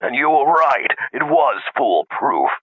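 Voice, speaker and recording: A man playing a gloating rival in a comedic audio drama, mocking and drawn-out in his taunts. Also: medium pitch, very animated, no room sound, narrow, tinny audio. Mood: smug, mocking.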